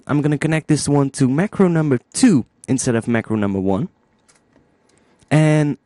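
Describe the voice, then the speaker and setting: A man with a mellow, relaxed tone walks through a software tutorial. His pitch moves in a lively way as he thinks aloud, casual and informal. The voice is recorded dry, with no sense of room around it. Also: no room sound. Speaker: music production tutorial host.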